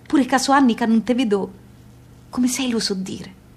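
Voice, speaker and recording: A woman's voice: a little high, normal speed, breathy edge. An actress playing an ailing, affectionate older mother with a southern Italian accent, speaking plainly and repetitively to her daughter in a radio play. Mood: weary, tender. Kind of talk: theatrical monologue on radio.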